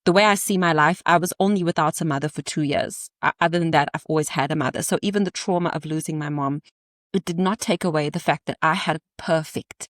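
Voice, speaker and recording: A woman in her thirties with a somewhat low voice, recorded close and dry with no room sound. She talks as a podcast guest, candid and animated, and slips in playful asides as she goes. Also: fast, dry recording. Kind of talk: candid storytelling in conversation.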